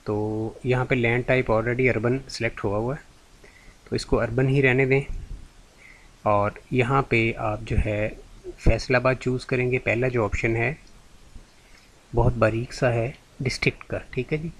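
A man's voice: mid-range voice, steady tone, moderate pace. A man giving a patient, step-by-step screen-recorded tutorial as a channel host. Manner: patient, step-by-step, repeats "theek hai ji".

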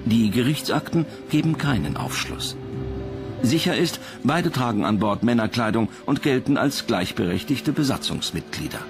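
A man's voice: mid-range voice, expressive, normal speed, music in the background. A man reading scripted documentary narration as the narrator.

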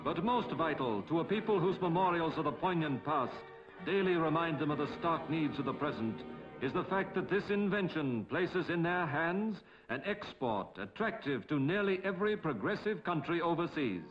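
A British male narrator with a fairly high voice delivers formal, rhetorical 1950s film commentary. The soundtrack is dull and dated, with prominent music behind him. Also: expressive, normal speed. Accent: British English. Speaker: documentary narrator.

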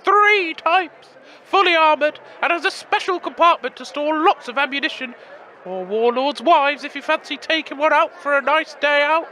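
Eager, naive expo visitor: radio comedy, extremely high, sharp voice. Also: male voice, normal speed. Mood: eager, earnest. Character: eager, simple-minded wasteland expo punter.

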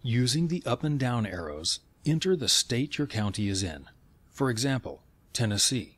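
A man reading scripted instructional narration in a measured, step-by-step way. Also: medium pitch, very animated, no room sound.

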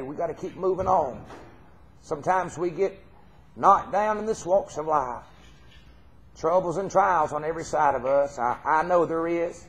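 Southern preacher man with a higher voice. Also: gravelly. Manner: folksy, earnest, repeats phrases for emphasis. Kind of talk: informal extemporaneous sermon. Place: church hall stage, indoors.

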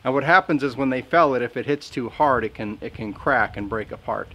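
A man talks outdoors beside his sawmill. His delivery is plainspoken and folksy as he explains his work to the camera at an ordinary, middle pitch. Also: brisk, pushed and bright, husky, rough recording. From Southern Indiana. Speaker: sawmill owner.

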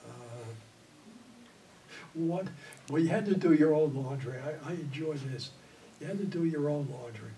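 A man's voice: on the high side, measured pace, pauses often, warm tone. An older man, a Navy veteran, telling his memories in a rambling, chuckling way in an oral history interview. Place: indoor studio before green backdrop. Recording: distant mic, audible room noise.

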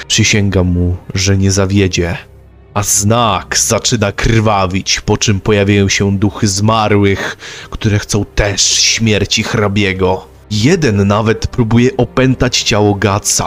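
Low-voiced man narrating a story. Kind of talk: scripted story recap narration.

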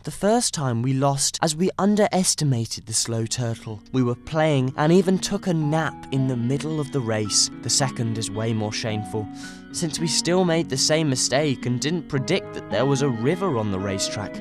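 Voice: mid-range voice, animated, moderate pace, music in the background, natural voice. A performer voicing a proud mother rabbit in a cartoon, earnest and instructive. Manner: earnest, lecturing.

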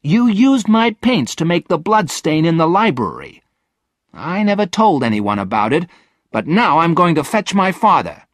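A man's voice: lively intonation, unhurried, breathy edge, no room sound, clean studio sound. A man voicing a kind but outspoken young girl in a storybook, earnest and emphatic. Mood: angry. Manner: earnest, emphatic, raised pitch.

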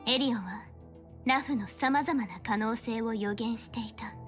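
An actress playing a stern young fortune-teller official in a game, speaking in a formal, measured, authoritative way. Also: female voice, a little high, soft background music, close to an everyday voice. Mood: analytical, composed. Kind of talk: scripted game character dialogue in Japanese dub.

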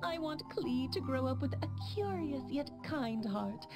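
A woman's voice: sits high, animated, unhurried, over music. A woman playing a playful, free-spirited sorceress mother in a video game, airy and theatrical in her delivery. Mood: cheerful, earnest. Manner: airy, theatrical, sing-song exclamations and chuckles. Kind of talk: video game cutscene monologue.

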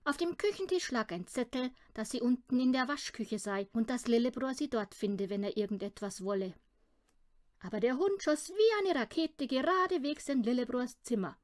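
A woman's voice: on the high side, expressive, moderate pace, a little mellow, no room sound, clean studio sound. A woman reading a children's audiobook, narrating the story expressively. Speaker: audiobook reader.

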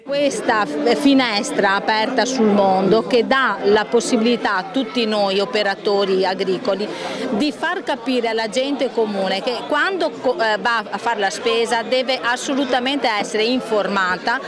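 Halting woman farmer amid loud crowd. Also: fairly high pitch. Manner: halting, many restarts and fillers. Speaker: women farmers' group member. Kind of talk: outdoor interview. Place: crowded outdoor expo. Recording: busy crowd noise behind.

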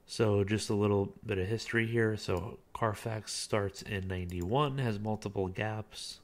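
A man with a low, deep voice chats his way through a car listing, relaxed and unscripted. He reads bits of the listing aloud and drops in plenty of filler words as he goes. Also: a little slow. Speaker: car auction reviewer. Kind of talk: casual screen-recorded commentary.

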